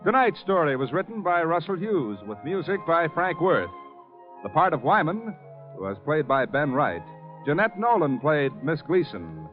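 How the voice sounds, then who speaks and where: Old 1950s radio announcer guy, higher voice, formal and punchy, music behind, muffled recording. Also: sing-song, moderate pace.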